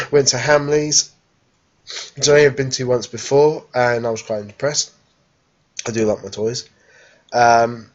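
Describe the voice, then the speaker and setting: A man in his thirties with a croaky cold voice, chatting casually on his sofa into a webcam. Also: medium pitch, dull audio. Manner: rambling, chatty, many fillers. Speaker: vlogger. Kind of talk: casual vlog chat. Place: living room sofa at home, then busy indoor exhibition hall. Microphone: webcam at home, handheld phone selfie at the show.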